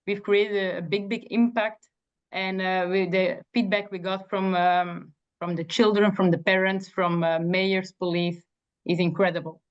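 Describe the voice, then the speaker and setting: A young woman from Belgium, whose first language is Dutch, speaks English as she introduces herself in an interview. She is earnest and steady, at a middle pitch, with many fillers and restarts. The recording sounds dull and lo-fi. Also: measured pace. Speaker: job candidate.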